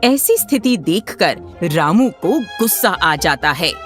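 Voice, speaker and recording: A woman reads a moral tale as narrator in an expressive, scripted style. Her intonation is lively and her pace is slow, with music under the voice. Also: on the low side.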